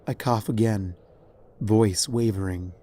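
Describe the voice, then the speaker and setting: A man reading dramatic fiction as narrator in a somber, measured way. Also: on the low side, fairly even intonation, mellow. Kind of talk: dramatic scripted story narration.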